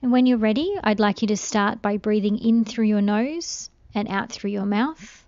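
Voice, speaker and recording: A woman guiding a meditation in a gentle, soothing, unhurried way. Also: mid-range voice, no echo, lo-fi sound. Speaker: meditation guide. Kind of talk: guided meditation.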